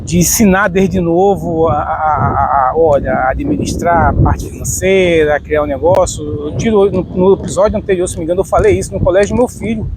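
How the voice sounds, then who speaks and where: A man's voice: a little high, fairly quick, hardly any pauses, noisy background. A middle-aged Brazilian man hosting a podcast, chatting casually and rambling a bit as he repeats himself. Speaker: podcast host. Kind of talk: casual interview chat.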